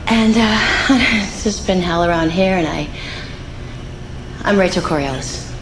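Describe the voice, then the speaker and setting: Low-voiced, awkward crew woman. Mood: sheepish. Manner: halting, sheepish. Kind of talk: game cutscene acting. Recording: echoey, noisy hangar ambience.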